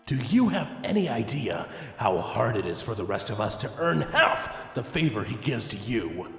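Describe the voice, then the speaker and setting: A man voicing a gruff, sardonic, resentful vampire brother in a dramatic story, with a deep, blunt delivery. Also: very animated, normal speed, a bit bright. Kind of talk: dramatic storybook character reading. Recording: echoey long reverb over background music.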